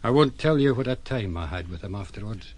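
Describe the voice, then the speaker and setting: Blunt sea captain, lowish voice, sombre, plain-spoken recounting, audio drama. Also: male voice, animated, brisk, darkish tone. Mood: sombre, reflective. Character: blunt ship's captain, sombre witness to tragedy.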